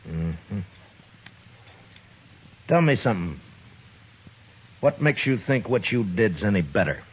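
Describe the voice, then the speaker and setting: A man's voice: fairly low pitch, very animated, slow, slightly rough, full character voice. An actor playing a tough, laconic lawman of the Old West in a 1950s radio western, with a terse, blunt, questioning way of talking. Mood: dry, probing. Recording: dull, noisy old radio recording.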